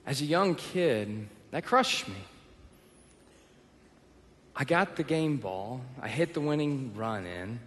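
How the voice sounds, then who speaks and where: A man's voice: medium pitch, expressive, takes it slowly, pauses often, a bit bright. A young male pastor from Texas with a Texan accent, preaching a sermon and telling personal stories with self-deprecating humor. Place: church auditorium. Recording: long reverb of a large hall.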